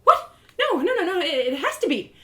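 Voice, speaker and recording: A woman voicing an excitable young fantasy heroine from a storybook, stammering and urgent as she pleads stubbornly. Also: extremely high pitch, normal speed, pitched above the performer's own voice, full character voice. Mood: frantic. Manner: stammering, urgent, repeats words. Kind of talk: storybook character voice in a live reading.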